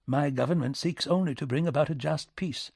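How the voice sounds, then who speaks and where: A man's voice: a little high, creaky, darkish tone, husky, dry recording, studio quality, voice pushed up. Man acting a stiff, courteous aristocrat diplomat. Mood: stiff, defensive. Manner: formal, stiff, measured diplomacy.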